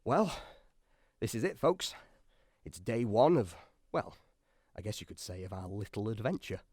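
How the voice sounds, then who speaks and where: A man's voice: on the low side, very animated, normal speed, darkish tone, no echo. A man acting in a radio drama as a wry, anxious science officer aboard a submarine, chatty and dryly sarcastic.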